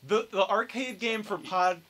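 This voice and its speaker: A man's voice: high-pitched, a little slow, pushed and bright. A young man chatting casually and emphatically as a panelist in a gaming discussion, on an amateur podcast recording. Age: young adult.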